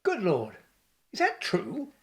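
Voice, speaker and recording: A woman's voice: mid-range voice, wildly swooping pitch, slow. A woman playing a vague, quirky elderly British scientist in a radio play, hesitant and absent-minded as she talks. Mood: distracted, mild.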